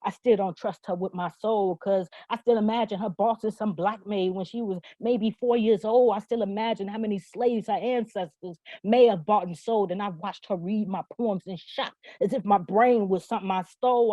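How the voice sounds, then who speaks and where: A woman's voice: medium pitch, normal speed, hardly any pauses, warm tone, no room sound, highs cut off. A woman performing spoken word poetry with rhythmic, rhyming phrasing and an emphatic, declarative manner. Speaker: poet.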